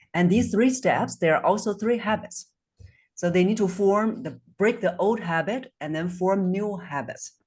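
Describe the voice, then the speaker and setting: A woman in her forties, a voice coach based in Singapore, answering interview questions in a measured, earnest way, over a video call. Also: sits low, darkish tone, husky.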